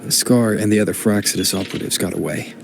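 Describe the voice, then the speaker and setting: A gravelly young fighter. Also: male voice, on the low side, fast, noisy background. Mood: earnest. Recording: game audio with music underneath.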